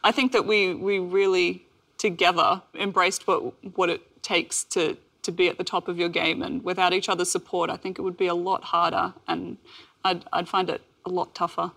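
A woman's voice: fairly low pitch, little pitch movement, moderate pace, gravelly, fairly bright tone. A woman, answering interview questions in an earnest, reflective way. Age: 20s. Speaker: interview guest, athlete.

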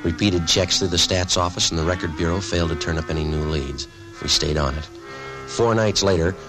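An actor playing a terse, businesslike police detective, narrating deadpan in the clipped style of 1950s radio drama. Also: male voice, sits low, natural voice. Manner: deadpan, matter-of-fact.

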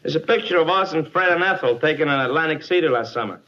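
A man's voice: on the high side, lively intonation, fast, pushed and bright. A man playing a sentimental, hesitant husband in a 1950s radio sitcom. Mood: wistful. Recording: dull, hissy 1950s radio recording.

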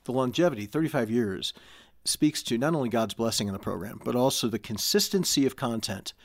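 A man speaking as a panel guest, explaining things in a measured, conversational style. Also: mid-range voice, lively intonation, dry recording, studio quality. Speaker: panel guest from an audio drama team.

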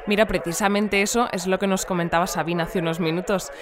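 An actress playing a composed woman news presenter in a scripted radio drama bulletin, formal and measured in her delivery. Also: mid-range voice, steady tone, a crowd in the background, lightly acted. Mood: probing, composed.

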